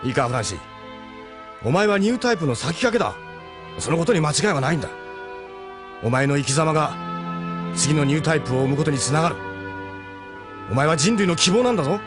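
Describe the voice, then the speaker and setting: A man playing a gruff, steadfast ship captain and mentor in a Japanese audio drama, speaking bluntly and urgently. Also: fairly high pitch, big pitch swings, quick pace, long pauses, music in the background. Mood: earnest, encouraging.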